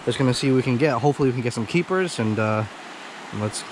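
A young man chatting casually to his viewers in a laid-back, slangy way, like an outdoor vlog host, recorded outside on an action camera. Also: medium pitch. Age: young adult. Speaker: vlog host, hobby angler. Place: outdoors on a rocky riverbank. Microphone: action camera at arm's length or body-held. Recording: clearly noisy outdoor recording, rushing river. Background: rushing stream water.

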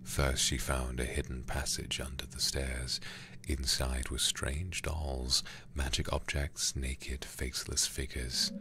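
A man reading a horror game aloud in ASMR style, hushed, breathy and deliberate, close to the microphone. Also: very deep voice, little pitch movement, background noise. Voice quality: breathy, whispery. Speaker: livestreamer playing a horror game.

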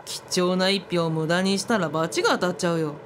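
A woman's voice: on the low side, brisk, a little hiss or hum, natural voice. Woman acting a blunt, opinionated eighteen-year-old. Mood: indignant. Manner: blunt, grumbling. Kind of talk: radio drama dialogue.

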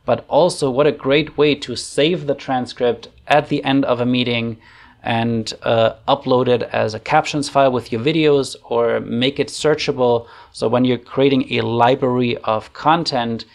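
A man in his thirties with a German accent walks through a software tutorial in a relaxed, chatty way, thinking aloud with plenty of fillers. His voice sits at a middle pitch with a gravelly edge. He speaks at home into a desk microphone. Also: measured pace. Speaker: online facilitation trainer. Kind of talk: casual screen-share tutorial. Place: home room. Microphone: desk microphone on arm.

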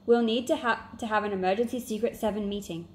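A woman's voice: medium pitch, steady tone, fast talker, slight background noise, natural voice. A woman voicing a sceptical, serious boy who leads a children's detective club in a storybook. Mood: urgent.

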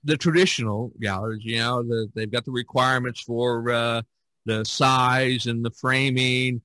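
Bright-voiced man chatting on a podcast. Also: mid-range voice, fairly even intonation, a little slow. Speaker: podcast host and painter. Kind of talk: casual podcast discussion.

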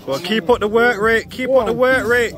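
A young man with a Manchester accent, vlogging and shouting encouragement beside an outdoor boxing ring. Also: very high-pitched, moderate pace, noisy background. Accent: Mancunian. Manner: excited shouting and hyped encouragement. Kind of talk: ringside vlog chat and corner coaching. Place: outdoor garden boxing ring with big crowd. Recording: loud crowd, music, outdoors. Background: loud cheering crowd and music.

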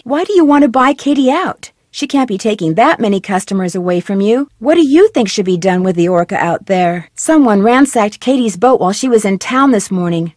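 A curious, persistent young female detective played by a woman fires off friendly, direct questions in a studio game recording. Her voice sits a little high, and the sound is close and dry with no room around it. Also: dry recording, natural voice. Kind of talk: game voice acting, studio lines. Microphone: close studio mic.

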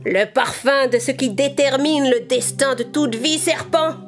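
A woman's voice: high voice, measured pace, music in the background. A woman voicing a scheming sea witch in a cartoon, gloating and theatrical, with cackling laughs. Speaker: voice actress. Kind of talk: cartoon voice acting.

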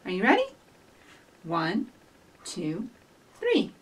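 A woman's voice: on the low side, huge pitch swings, takes it slowly, breathy edge. A middle-aged woman presenting a storytime for young children, addressing them directly and encouragingly as she talks and reads aloud. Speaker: children's librarian, storytime host. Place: small indoor office with shelves. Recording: distant microphone in a room.

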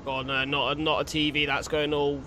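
A man's voice: a little high, little pitch movement, fairly quick, sharp tone, slight background noise. A young man giving casual, jokey reaction commentary with exclamations of dread, close to a desktop microphone. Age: young adult. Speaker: video creator reacting to horror. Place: small room at home. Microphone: large desktop condenser microphone up close.

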